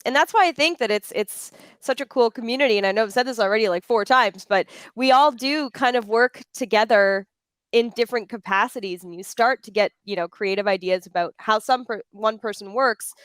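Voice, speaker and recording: A woman's voice: fairly high pitch, a little fast, a bit bright, clear, resonant tone, no echo. A woman moderating a panel discussion in a conversational, unscripted way.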